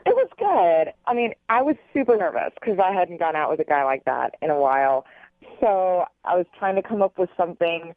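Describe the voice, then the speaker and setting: A woman's voice: lowish voice, big pitch swings, a little fast, no echo. A woman phoning in to a radio show, casually explaining her personal story over a telephone line with some hedging. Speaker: phone-in guest on a radio show.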